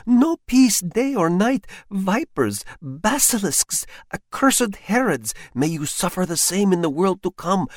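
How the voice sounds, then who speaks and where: A man voicing a shrill, stingy spinster housekeeper who scolds in rapid-fire bursts. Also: dry recording, studio quality. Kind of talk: audiobook character voice.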